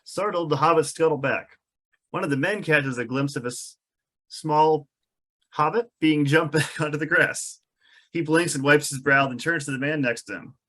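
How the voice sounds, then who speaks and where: A man's voice: a little high, normal speed, sharp tone. A man reading stage directions as narrator in a table read of a film script.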